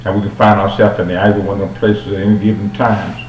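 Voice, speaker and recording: A man's voice: fairly low pitch, flat delivery, fast, lo-fi sound. A man who is a pastor, speaking earnestly in a preacherly way as an interview guest, with some stumbles. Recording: roomy, distant mic with faint hum.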